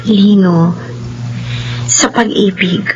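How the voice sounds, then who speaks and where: An actress playing a gentle, sincere young daughter of a rich family in a melodrama, speaking earnestly. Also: female voice, medium pitch, fairly even intonation, drawn-out words, lo-fi sound, a little hiss or hum. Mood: grateful, tender. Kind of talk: Filipino radio-style melodrama acting.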